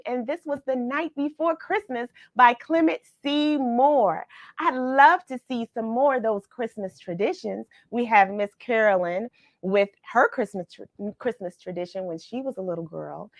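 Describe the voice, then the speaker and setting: A woman hosting a read-along, speaking in a measured, expressive storyteller way as she reads and chats to her audience. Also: on the high side, no echo. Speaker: host of a read-along show. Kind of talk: expressive storybook reading and friendly live chat with viewers.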